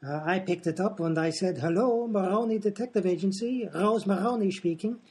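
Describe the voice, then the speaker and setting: A man's voice: high-pitched, a little fast, darkish tone, slightly rough. A man playing a dim, placid, forgetful professor in a comedy radio play, with a muddled, hesitant, guileless manner. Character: dim, amnesiac male professor, placid and literal-minded.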